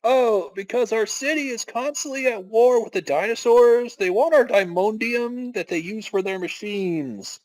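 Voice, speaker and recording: Man doing a high, earnest anime youngster. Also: measured pace, few pauses, a little mellow, rough recording. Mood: matter-of-fact.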